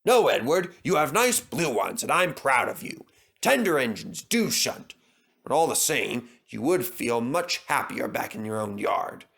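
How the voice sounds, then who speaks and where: A man's voice: medium pitch, sing-song, unhurried, creaky, a bit bright, exaggerated voice. A man voicing a pompous, stern but fatherly railway boss with a formal, authoritative manner in a children's story. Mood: kindly firm.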